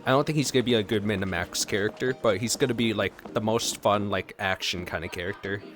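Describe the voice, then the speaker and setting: A man's voice: fairly low pitch, a little fast, fairly bright tone. A man giving casual reaction commentary as he watches a video game story. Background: faint game music.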